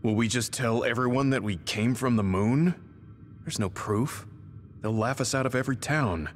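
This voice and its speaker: A man playing a gruff, doubtful, down-to-earth soldier in a video game. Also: mid-range voice, normal speed, natural voice. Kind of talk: scripted video game voice acting. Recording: long reverb with faint music.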